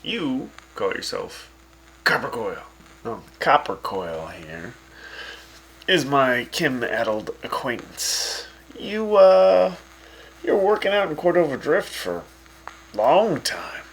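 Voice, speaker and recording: A man's voice: medium pitch, big pitch swings, slow, vocal fry, a little hiss or hum, full character voice, rough recording. A man playing a wry, teasing wasteland radio host who chats with his guests. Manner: sarcastic, teasing, chatty.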